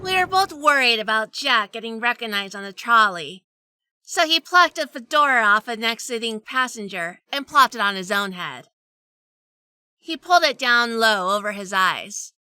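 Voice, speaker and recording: A woman playing a sardonic, quick-witted female detective in a hardboiled noir audio drama, narrating and talking with wry humor. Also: a little high, big pitch swings, bright, forceful tone, smooth, no room sound, full character voice. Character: sardonic quick-witted 1940s female gumshoe, weary wife.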